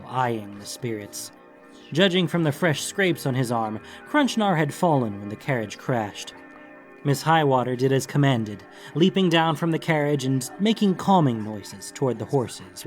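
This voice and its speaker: Male audiobook narrator, mid-pitched voice, dramatic scripted fantasy storytelling, faint music behind. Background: background music.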